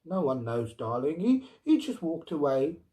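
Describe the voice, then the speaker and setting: A man's voice: on the high side, big pitch swings, unhurried, mellow, voice pushed up. A man voicing a stubborn, exasperated mother in a story, firm and emphatic. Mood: soothing. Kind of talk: storybook character reading.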